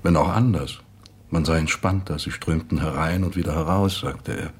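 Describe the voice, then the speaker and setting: An actor playing a sober, reflective man who deliberately recounts memories in a radio drama. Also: rumbling low, steady tone, a little hiss or hum. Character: man soberly recalling an absent friend's confidences. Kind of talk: radio play monologue.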